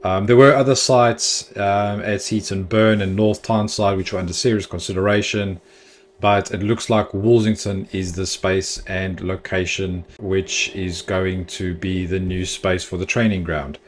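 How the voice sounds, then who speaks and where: A man's voice: deep voice, steady tone, unhurried, a little hiss or hum. A man hosting a football fan channel, giving chatty, opinionated news commentary with the odd filler.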